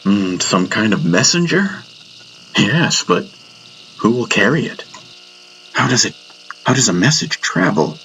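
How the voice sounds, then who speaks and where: A man's voice: fairly low pitch, big pitch swings, unhurried, pauses often, bright, forceful tone, a little hiss or hum, full character voice, poor audio. A man voicing a puzzled, curious tribesman in a fantasy audiobook, wondering aloud.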